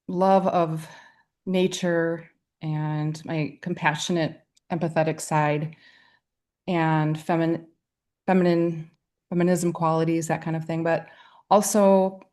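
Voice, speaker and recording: A woman's voice: low voice, a little mellow. A middle-aged woman from Wisconsin, a poet and writer, answering interview questions in an earnest, reflective way. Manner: earnest and reflective, with light laughs.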